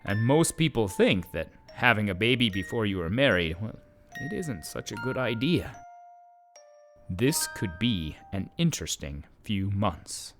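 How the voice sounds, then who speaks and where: A man's voice: lowish voice, sing-song, unhurried, fairly bright tone, faint music behind, exaggerated voice. A man playing an observant, easily startled donkey who tells his story in a wry, bemused way for a children's audio drama. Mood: wry, concerned.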